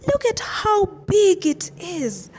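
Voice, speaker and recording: A woman voicing an excitable young girl in a children's story, exclaiming with drawn-out emphatic words. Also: extremely high pitch, a little hiss or hum, voice pushed up, exaggerated voice. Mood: awestruck. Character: excitable, awestruck young girl lost in a museum. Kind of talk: children's storybook character acting. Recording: reverberant, hall-like echo.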